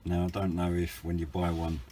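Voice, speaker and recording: A man's voice: low-pitched, monotone, fairly quick, dark tone, a little hiss or hum. A British man giving an informal, hesitant and understated hobby product review, rambling a little as he explains. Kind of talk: informal unboxing and review narration.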